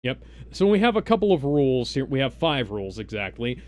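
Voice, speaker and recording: A man hosting a live stream, casually explaining things in a halting, rambling way with mock-serious asides. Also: mid-range voice, big pitch swings, a little mellow. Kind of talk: casual live introduction, explaining party game rules.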